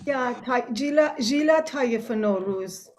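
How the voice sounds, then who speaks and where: A woman's voice: fairly high pitch, expressive, takes it slowly. A woman from Iran, speaking on a group video call in a careful, word-searching way. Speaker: participant in a group meditation call. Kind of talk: earnest casual sharing.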